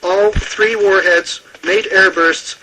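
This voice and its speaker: A man playing a solemn Defense Department spokesman, making a formal, deliberate official announcement. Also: high voice, steady tone, sharp tone, exaggerated voice. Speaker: government spokesman. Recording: faintly noisy radio broadcast.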